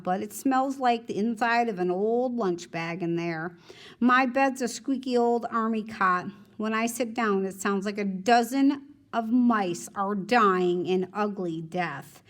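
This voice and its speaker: Casting: female teacher, slightly high voice, expressive read-aloud storytelling, conversational delivery. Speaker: teacher reading a novel aloud to students.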